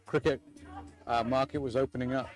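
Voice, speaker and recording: A man from England, a cricket co-commentator, chatting in a relaxed way and often agreeing. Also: mid-range voice, brisk. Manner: relaxed, frequent agreeing yeahs.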